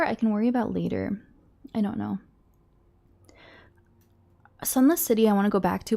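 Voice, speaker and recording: A woman's voice: mid-range voice, gravelly. A young woman streaming a game, chatting casually with her viewers, sounding congested and a bit nasal from a cold, rambling and restarting her sentences. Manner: chatty, rambling with repeated restarts and quiet asides.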